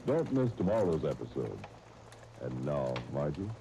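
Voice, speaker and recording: A man's voice: a bass voice, very animated, a little slow, dark tone. A man working as a radio announcer, reading scripted copy in 1930s style. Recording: muffled, hissy 1930s radio disc.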